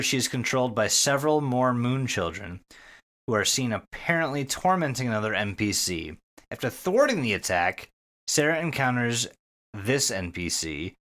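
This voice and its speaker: Male podcast host, animated pitch swings, casual sweary storyteller, enthusiastic about the material. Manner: sweary, enthusiastic, with repeated words. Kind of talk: casual storytelling recap of an internet horror story.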